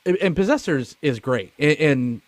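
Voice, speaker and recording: A man's voice: on the high side, expressive, moderate pace, dry recording. A man hosting a movie podcast in a casual chat, joking, swearing and putting himself down. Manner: joking, profane, self-deprecating. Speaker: podcast host.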